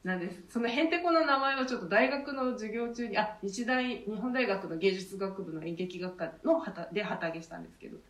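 A woman's voice: mid-range voice, animated, brisk, few pauses, fairly bright tone. Woman in casual talk, echoey and distant. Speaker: theater director and radio show co-host. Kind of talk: casual introductory talk about her troupe. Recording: echoey room, distant mic.

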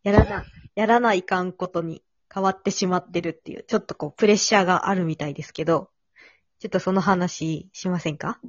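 A woman's voice: on the low side, fast, no echo, muffled recording. A woman hosting a casual three-person chat in polite, conversational phrasing. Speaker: host.

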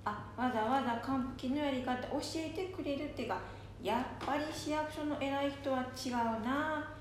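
A woman acts out a chatty, excitable old grandmother in a homemade radio drama. Her voice is high-pitched, with a country Nanbu dialect. It rings in a very echoey room over faint noise. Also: normal speed, natural voice. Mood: trusting, eager. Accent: Nanbu dialect, Japan. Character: gullible, stubborn, chatty elderly grandmother.

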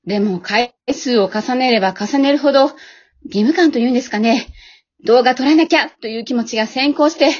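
Woman acting a modest housewife, slightly husky. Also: on the high side, brisk, highs cut off, close to an everyday voice. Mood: weary, confiding. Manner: humble, polite, hesitant. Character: modest, earnest housewife YouTuber losing heart, speaking politely. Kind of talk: amateur radio drama acting.

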